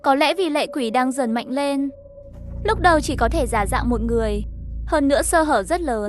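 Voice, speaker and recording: A woman reading a scripted story, voicing the narration and all the dialogue herself. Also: sits high. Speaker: narrator of an animated horror story recap.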